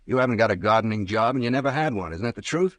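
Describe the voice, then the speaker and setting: An actor playing a stern, sardonic police captain who presses hard with questions in old-time radio drama style. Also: male voice, on the low side, animated, racing, no room sound, natural voice. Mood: stern, accusing. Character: stern, relentless police captain interrogating a suspect.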